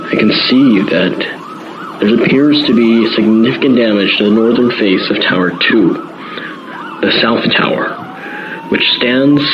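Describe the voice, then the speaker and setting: A man's voice: fairly low pitch, lightly acted. A man playing an earnest, awed eyewitness radio reporter giving a live report in an audio drama. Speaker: field reporter. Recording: noisy, muffled outdoor radio link.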